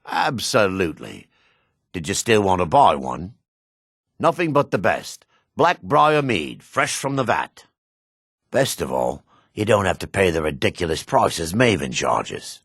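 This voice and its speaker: An actor playing a shifty, greedy dark elf merchant and foreman in a fantasy video game, brusque and sly, with a raspy voice. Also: low voice, very animated, unhurried, dry recording, clean studio sound, heavily acted. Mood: sly salesmanship.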